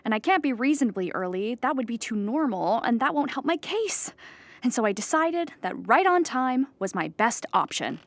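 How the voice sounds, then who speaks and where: An actress playing an anxious, childlike clown who rambles earnestly in a theatrical audio comedy monologue. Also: female voice, a little high, big pitch swings, normal speed, no room sound. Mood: flustered. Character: anxious, childlike clown pleading at an appeal hearing.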